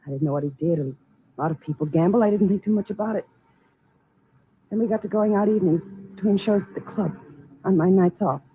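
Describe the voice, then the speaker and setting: An actress playing a frightened young nightclub woman with a halting, anxious delivery in a 1940s radio drama. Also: mid-range voice, a little hiss or hum, close to an everyday voice. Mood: bitter, troubled. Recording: muffled, dull vintage radio recording.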